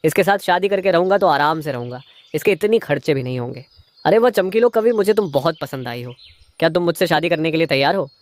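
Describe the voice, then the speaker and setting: A man's voice: fairly high pitch, lively intonation, fast talker, natural voice. A man voicing a stingy, two-faced crow husband in a moral-story cartoon, a schemer who feigns sweetness to his wife. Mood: smitten, calculating.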